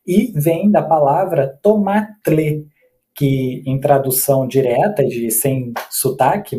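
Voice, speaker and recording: A man's voice: mid-range voice, mellow. A man from Mexico, a researcher and cook, explaining food history in a teacherly way. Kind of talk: conversational explanation.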